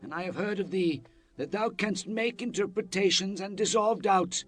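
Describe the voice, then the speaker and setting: Proud, high-voiced ancient king. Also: normal speed, soft background music. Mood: imperious. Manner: formal, declamatory. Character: proud Babylonian king addressing a captive seer. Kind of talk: dramatized Bible acting.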